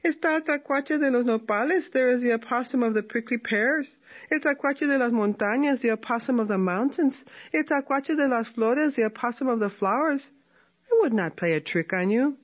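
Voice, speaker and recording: Woman putting on a sly male opossum, high squeaky pitch, sweet-talking, chirpy, storybook trickster, dull lo-fi sound. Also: moderate pace, close to an everyday voice. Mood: sly cheerful. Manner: sweet-talking, chirpy, switches Spanish and English. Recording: dull lo-fi recording.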